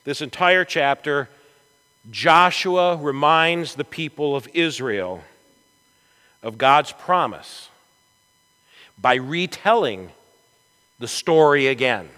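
A man preaching a storytelling sermon in a church, with a dramatic, deliberate delivery and emphatic swells. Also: fairly high pitch, pushed and bright. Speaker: pastor preaching. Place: large church sanctuary, from the chancel. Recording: echoey church hall with long reverb.

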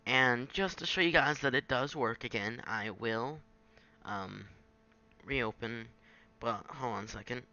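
Gravelly man giving a casual tutorial. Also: medium pitch, a little slow, bright, forceful tone. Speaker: tutorial maker. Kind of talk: casual off-the-cuff software tutorial. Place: at a home computer, screen recording. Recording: dull lo-fi home microphone.

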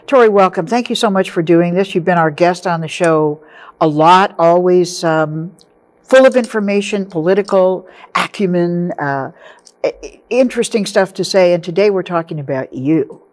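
A woman's voice: fairly low pitch, normal speed. A woman hosting a studio interview show, friendly and teasing, introducing her guest and asking about her early life. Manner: friendly, teasing, laughs easily. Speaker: talk show host. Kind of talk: hosted interview. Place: TV studio set.

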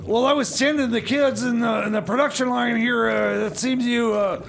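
Very high-pitched, creaky, flustered mechanic. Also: male voice, steady tone, moderate pace, a bit bright, slight background noise, full character voice. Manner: stammering, rambling, flustered. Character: flustered, hapless male time machine mechanic. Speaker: radio show sidekick. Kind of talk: comedy radio theater.

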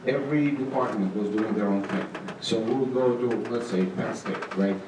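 A middle-aged man giving an informal conference presentation, halting and dryly humorous. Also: lowish voice. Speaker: conference presenter, state agency manager. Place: auditorium stage. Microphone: handheld microphone, recorded from far camera. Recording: echoey hall, distant, noisy room sound.

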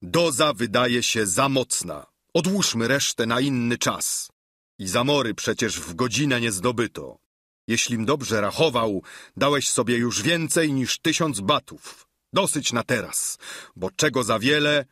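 A man voicing a solemn, kindly gentleman knight in a storybook, exhorting in grand, caring phrases. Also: medium pitch, lively intonation, unhurried, dry recording, studio quality. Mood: urgent, concerned.

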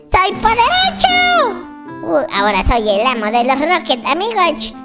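A performer voicing an excitable, boastful but kind baby boy game character with a squeaky childlike voice, exclaiming and repeating words as gameplay roleplay commentary. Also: takes it slowly, full character voice. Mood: triumphant, excited. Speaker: gaming channel host. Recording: music behind the voice. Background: children's music.

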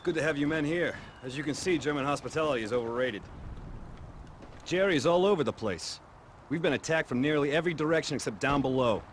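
An actor playing a battle-worn WWII field commander in a video game, sardonic yet commanding. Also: male voice, fairly high pitch, fast, slight background noise, lightly acted. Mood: wry, weary. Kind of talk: video game cutscene acting.

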